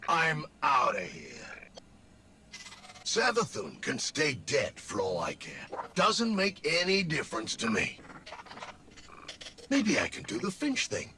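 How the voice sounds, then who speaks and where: A man's voice: fairly high pitch, vocal fry, pushed and bright, a little hiss or hum, exaggerated voice. A man voicing a self-serving, wisecracking machine companion in a video game, with a blunt, slangy delivery. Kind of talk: video game character acting.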